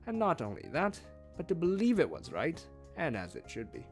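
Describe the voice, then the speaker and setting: A man reading a novel aloud as a streamed read-along, with expressive, emphatic phrasing. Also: on the high side, normal speed, soft background music. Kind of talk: read-along audiobook narration.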